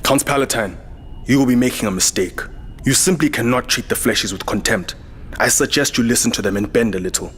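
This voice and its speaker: An actor playing a weary, hot-tempered military security chief in a science fiction audio drama, speaking in a terse, dramatic way. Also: male voice, medium pitch, brisk, fairly bright tone, a little hiss or hum, close to an everyday voice. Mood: angry, urgent. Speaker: lead actor and first-person narrator. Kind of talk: audio drama acting and narration.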